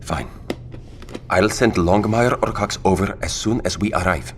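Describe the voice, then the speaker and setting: Earnest worried man, deep voice, naturalistic drama, slight background noise. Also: a little slow. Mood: worried, reluctant. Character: earnest, worried man reluctantly following orders. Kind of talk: naturalistic audio drama acting.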